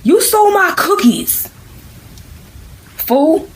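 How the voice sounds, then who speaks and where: An indignant, none-too-bright schoolboy, acted out by a woman storyteller. The voice jumps high and whines with exasperation, pushing out complaints in a comic tale over background music. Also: big pitch swings, takes it slowly, crowd noise, full character voice, rough recording. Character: indignant, dim-witted schoolboy throwing a loud fit.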